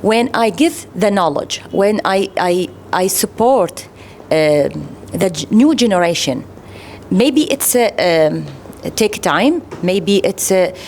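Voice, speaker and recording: A woman from Iraq with an Arabic accent, speaking English as a second language at a round-table discussion, pausing often and restarting her sentences. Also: mid-range voice, lively intonation, darkish tone, slight background noise. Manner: halting, many fillers and restarts. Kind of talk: panel discussion remarks.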